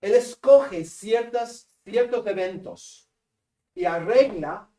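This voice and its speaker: Northern peruvian guy teaching a class, slow and kinda high, mic far off, roomy echo. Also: warm tone. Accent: northern Peruvian Spanish. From Peru. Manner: joking, pausing with fillers. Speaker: seminary lecturer. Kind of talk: classroom lecture. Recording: echoey classroom from a distance.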